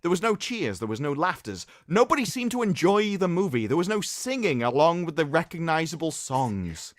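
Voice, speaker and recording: A man hosting a livestream, reading aloud in a mocking, theatrical way with sarcastic asides and drawn-out emphasis. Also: a little high, sing-song, no echo, clean studio sound. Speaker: livestream host. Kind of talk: mocking dramatic reading of an article with commentary.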